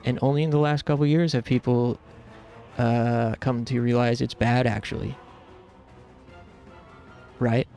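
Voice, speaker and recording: A man's voice: medium pitch, flat delivery, unhurried, long pauses, darkish tone, faint music behind. A man in his thirties from the United States, chatting casually and earnestly with stream viewers, rambling with lots of fillers. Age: 30s.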